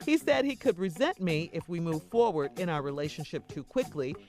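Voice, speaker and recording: Female radio co-host, lively intonation, mid-pitched, reading copy over soft music. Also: brisk. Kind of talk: radio hosting, reading letters and ads. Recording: faint music behind the voice.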